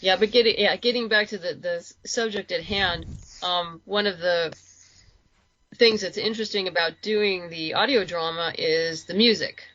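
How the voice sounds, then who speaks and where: Bright-voiced woman, dull dry recording. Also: fairly low pitch, moderate pace, dry recording. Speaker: guest, comics and audio drama creator. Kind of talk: casual podcast chat.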